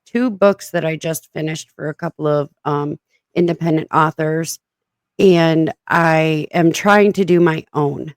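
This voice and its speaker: A woman's voice: low voice, measured pace, no echo, clean studio sound. A woman with a smoky voice chatting casually as a podcast host, self-mocking and laughing often. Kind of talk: casual chat.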